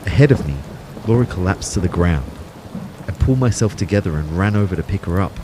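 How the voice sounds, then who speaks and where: A man's voice: sits low, lively intonation, fast talker, background noise. An Australian actor playing Kyle, an anxious, self-doubting man telling his own story in an audio drama. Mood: troubled. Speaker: actor narrating in character. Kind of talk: first-person audio drama narration.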